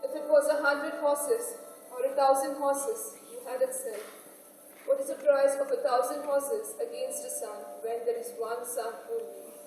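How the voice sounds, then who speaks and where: A woman acts out an old island mother, grieving and pleading in a high voice. It is stage drama, caught from far off in a very echoey hall with clear background noise. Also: fairly even intonation, a little slow, a little mellow. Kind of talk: stage play acting. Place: stage in a hall, distant microphone.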